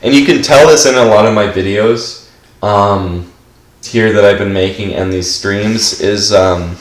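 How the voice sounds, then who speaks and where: A man's voice: fairly low pitch, a little slow, roomy sound, poor audio. A man giving casual gameplay commentary while stuffed up from allergies, rambling and filling his speech with "like". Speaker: game streamer.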